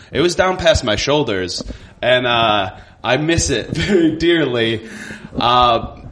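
A man doing casual, conversational stand-up comedy in a halting, self-conscious, stammering way. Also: on the high side. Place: live comedy venue. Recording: noisy live stage recording.

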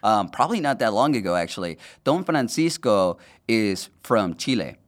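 A man's voice: lowish voice, big pitch swings, moderate pace. A man from Honduras whose first language is Spanish, chatting casually as a podcast guest, rambling and laughing as he talks. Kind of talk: casual chat.

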